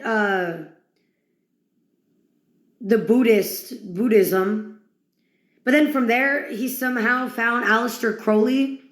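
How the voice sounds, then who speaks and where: A woman with a fairly high voice performs a theatrical, taunting monologue. She speaks very slowly, with crude mockery aimed at a single listener. The recording is roomy and echoing. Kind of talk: dramatic mocking monologue to one listener.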